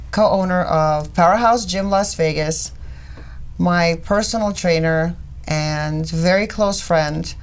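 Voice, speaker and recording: Emphatic, low-pitched female podcast host. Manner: emphatic, effusive. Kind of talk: conversational interviewing.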